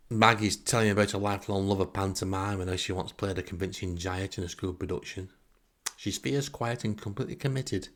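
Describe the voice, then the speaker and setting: Male podcast storyteller, Northern English, lowish creaky voice, sarcastic and wry, comic anecdotes. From northern England. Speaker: podcast host. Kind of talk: comic first-person storytelling monologue.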